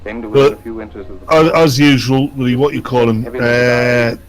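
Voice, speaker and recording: A man's voice: mid-range voice, steady tone, takes it slowly. Dry British man riffing casually. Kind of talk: casual joking commentary. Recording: other voices and radio playing behind.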